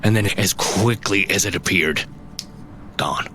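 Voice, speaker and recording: An actor playing a shaken woodland tracker in a fantasy audio drama, telling of a monster in halting, dramatic phrases. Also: male voice, low voice, noisy background. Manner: halting, dramatic storyteller, often pausing and repeating.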